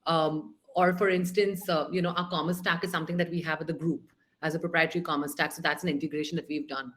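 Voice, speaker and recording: A woman, a founder speaking on a panel over a video call, animated and thinking aloud with frequent restarts. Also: low-pitched, quick pace. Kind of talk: panel discussion.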